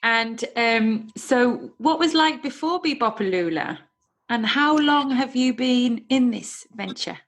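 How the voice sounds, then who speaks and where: A woman's voice: fairly high pitch, expressive, takes it slowly. A woman, hosting a casual interview in an interested, encouraging way. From North Wales. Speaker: podcast host, interviewer.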